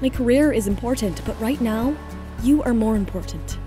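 A woman narrator voicing a calm, loyal young model and wife in an audio drama, composed and gentle. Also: on the high side, moderate pace, over music, natural voice. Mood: affectionate.

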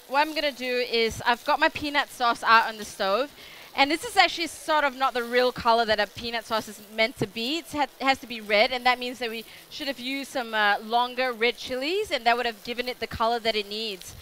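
A woman's voice: fairly high pitch, brisk, sharp tone, a little hiss or hum. Chatty young Australian woman demonstrating cooking. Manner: chatty, rambling with many fillers. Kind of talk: live cooking demo. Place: food show stage kitchen with audience.

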